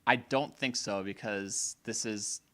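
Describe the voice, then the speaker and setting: A man co-hosting a casual gaming podcast, opinionated and rambling, a little hoarse, often restarting his sentences. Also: on the low side, slow, a bit bright. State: slightly hoarse. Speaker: podcast co-host.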